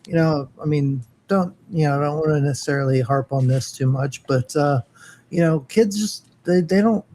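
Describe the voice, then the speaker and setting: A man chatting casually on a podcast, talking in a halting way with lots of pauses and restarts. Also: a little high, a little mellow, slight background noise. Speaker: co-host.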